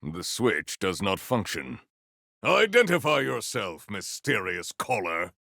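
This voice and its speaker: A deep, gravelly, grandiose warrior. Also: male voice, very animated, bright, forceful tone, no room sound, clean studio sound, heavily acted. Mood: stern. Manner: grandiose, stiffly formal, earnest, deliberate. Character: proud, formal, grandiose male sci-fi warrior. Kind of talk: video game character voice lines.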